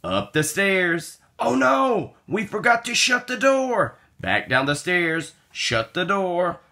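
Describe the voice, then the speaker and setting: A man reading a children's picture book aloud in an expressive, sing-song way with drawn-out words. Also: sits high. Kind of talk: animated children's read-aloud.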